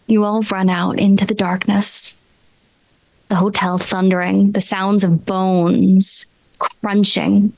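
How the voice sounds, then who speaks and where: A woman running a tabletop game, narrating horror scenes in a deliberate, suspenseful, dramatic style. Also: mid-range voice, flat delivery, vocal fry, a little mellow, no echo, rough recording. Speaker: game master.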